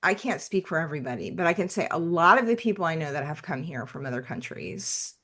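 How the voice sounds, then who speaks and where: A woman from the United States, a podcast guest talking in a rambling, animated way about life abroad. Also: sits low, fast. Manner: talkative, rambling, animated asides. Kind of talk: conversational podcast interview.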